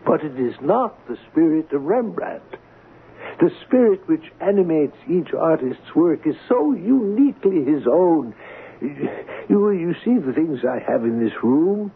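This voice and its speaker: An actor playing a courteous, devout, scholarly male art appraiser in a radio drama, speaking formally and with measured care. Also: on the high side, big pitch swings, vocal fry, husky, full character voice. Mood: reverent, lofty. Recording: muffled vintage radio broadcast.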